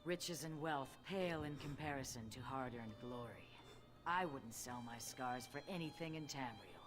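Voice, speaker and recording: A woman's voice: rumbling low, animated, normal speed, music in the background. A woman voicing a proud, boastful, battle-scarred warrior in a video game. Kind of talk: video game character acting.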